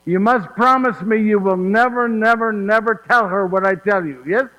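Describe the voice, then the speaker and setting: Guy playing joseph telling stories, lilt, laughs a lot, keeps asking yes?, highish voice, echoey hall. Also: normal speed, bright, forceful tone. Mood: fond. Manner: folksy, laughing, asks "yes?" often. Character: warm old Joseph of Nazareth, chatty storyteller. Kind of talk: one-man stage monologue. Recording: hall reverb.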